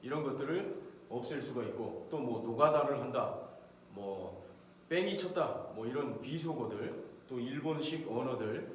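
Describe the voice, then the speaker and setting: A man's voice: medium pitch, animated, normal speed. A middle-aged man, a professor, explaining a point in a recorded lecture and acting out short quotes from soldiers now and then. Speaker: professor lecturing on military leadership. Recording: very echoey room, faint background noise.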